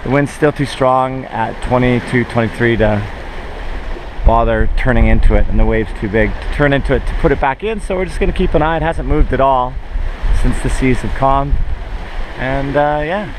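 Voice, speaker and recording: A man's voice: mid-range voice, normal speed. A middle-aged man giving casual sailing vlog updates, recorded outdoors on a boat. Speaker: sailing vlogger and skipper. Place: outdoors on a sailboat at sea. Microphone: action camera at varying distance. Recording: windy sea and boat noise.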